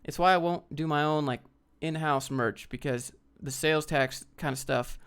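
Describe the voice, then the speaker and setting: A man's voice: fairly high pitch, moderate pace, fairly bright tone, smooth. A man from the United States hosting a livestream, chatting off the cuff in a blunt, slangy way as he reads out questions and answers them. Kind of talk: casual off-the-cuff Q&A chat, reading questions aloud.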